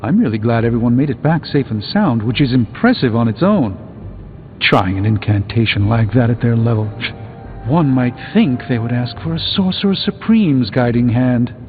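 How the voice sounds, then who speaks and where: A proud, erudite sorcerer superhero, played by a man, delivers game dialogue with pompous, theatrical formality and dry wit. His pitch is that of an average man. The audio is thin and narrow, with music behind it. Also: animated, normal speed, lightly acted. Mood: wry, haughty. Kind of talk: video game character dialogue.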